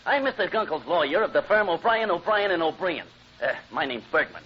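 A man's voice: fairly high pitch, sing-song, brisk, slightly rough. A man playing a smug, meddling divorce lawyer with blustering, theatrical delivery in an old radio comedy. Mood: brash. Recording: dull, noisy old radio recording.

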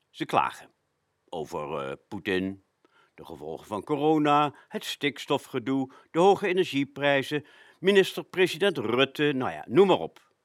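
A man presenting a radio show, narrating from a script with frequent hesitant pauses. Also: medium pitch, no echo, clean studio sound.

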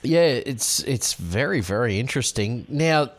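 A man who hosts on radio and calls races, interviewing a guest with relaxed, layered questions. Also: medium pitch, unhurried. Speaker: radio host, race caller.

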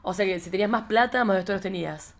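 A woman with a Rioplatense Argentine accent hosts a relaxed conversation. Her voice sits at a middle pitch with plenty of gravelly fry. She talks in an informal, slangy way. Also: quick pace. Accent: Rioplatense Argentine Spanish. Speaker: podcast host. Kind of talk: casual chat.

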